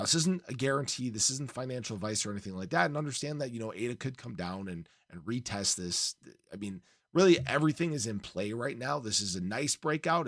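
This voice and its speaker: Man, crypto vlog host, lowish voice, slightly hoarse, emphatic, dry close sound. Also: a bit bright, no echo. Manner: upbeat, emphatic, leans on "again" and "right?". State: slightly hoarse, losing his voice. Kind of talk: casual market commentary to camera.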